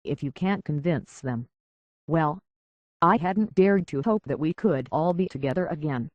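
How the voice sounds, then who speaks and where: A woman's voice: low voice, measured pace, no room sound, lo-fi sound. An earnest young woman in a video game, speaks with hesitant sincerity and open vulnerability. She sounds conflicted, as if searching for who she is. The sound is close and very dry. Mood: earnest, hopeful.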